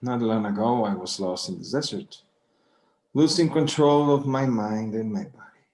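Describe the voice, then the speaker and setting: An actor playing an elderly, stubborn but tender Mexican-American rancher father in a table read, halting and slipping into Spanish. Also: on the low side, darkish tone, lightly acted. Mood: reflective, gentle. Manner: halting, repeating words, dropping in Spanish. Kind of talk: screenplay table read acting.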